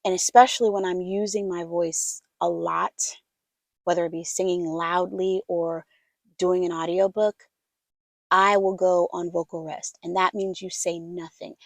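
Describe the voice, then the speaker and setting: A soft-spoken woman giving casual advice as a voiceover coach, chatty and easygoing. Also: on the low side, flat delivery, measured pace, dry recording. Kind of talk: casual instructional talk to camera.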